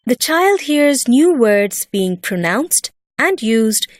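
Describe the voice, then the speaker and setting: Female instructional narrator, slightly high voice, unhurried and deliberate, lively intonation. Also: a little hiss or hum. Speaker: teacher narrating an educational video.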